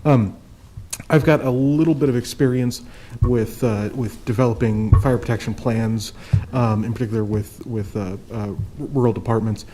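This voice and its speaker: A man's voice: mid-range voice, fairly even intonation, moderate pace, warm tone, a little hiss or hum, low-quality recording. A man on a county board who says he is losing his voice, speaking earnestly with frequent restarts at a public hearing. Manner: earnest, repeats himself, stumbles. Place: county board meeting room.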